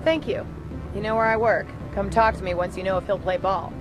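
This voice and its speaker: A woman's voice: mid-range voice, fairly even intonation, brisk, over music, close to an everyday voice, poor audio. A woman playing a sardonic, businesslike undercover corporate investigator in a video game. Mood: relieved, wry. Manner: wry, businesslike.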